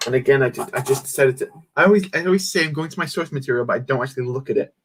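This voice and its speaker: A man's voice: mid-range voice, racing, slightly rough. A man hosting a casual livestream, rambling and answering chat comments while he builds. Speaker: livestream host.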